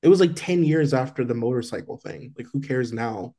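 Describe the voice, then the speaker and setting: Mellow-voiced man chatting gossip. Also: mid-range voice, a little fast. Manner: loose and chatty, heavy on "like". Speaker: podcast co-host. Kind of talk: casual celebrity gossip chat.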